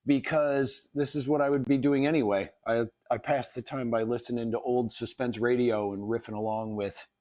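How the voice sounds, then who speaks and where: Casual wisecracking male livestreamer, mid-pitched. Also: steady tone, normal speed, hardly any pauses, a little mellow. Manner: wisecracking, mock-dramatic asides. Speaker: livestreaming cartoonist and host. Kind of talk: casual riffing commentary over old radio.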